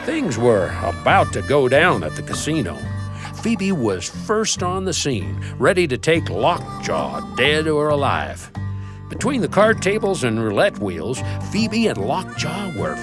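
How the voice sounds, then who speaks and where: An actor playing a folksy Old West storyteller, narrating an audio drama. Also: male voice, fairly high pitch, huge pitch swings, unhurried. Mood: suspenseful. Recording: loud music behind.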